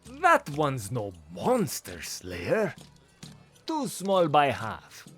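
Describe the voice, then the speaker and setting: A man's voice: a little high, wildly swooping pitch, very slow, a bit bright, faint music behind, pitched above the performer's own voice, heavily acted. A man voicing a dismissive village father with a drawl in a fantasy audio story.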